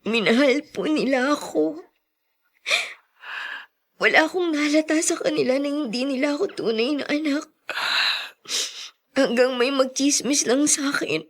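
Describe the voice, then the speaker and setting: Sweet polite filipino daughter, pretty high voice, emotional radio drama style. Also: female voice, unhurried, pauses often. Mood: tearful longing. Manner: polite, soft-hearted, emotional. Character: gentle, respectful young daughter longing for her birth mother.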